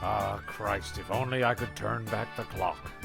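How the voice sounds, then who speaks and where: A man with a gravelly voice acting out scripted comedy dialogue. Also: on the low side, a little slow, music in the background. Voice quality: gravelly, creaky.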